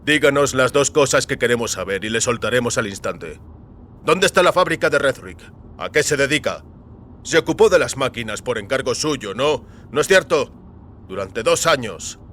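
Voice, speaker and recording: A man's voice: mid-range voice, expressive, moderate pace, a bit bright, close to an everyday voice. Stern male interrogator, voiced by a male narrator, audio fiction, insistent and clipped, over background noise. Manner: pressing, clipped questioning.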